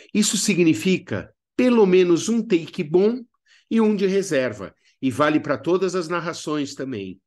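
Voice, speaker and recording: A man's voice: mid-range voice, darkish tone. A man with a Brazilian accent narrating a video lesson as a workshop instructor, didactic with conversational asides to the learner. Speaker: instructor of a radio drama workshop.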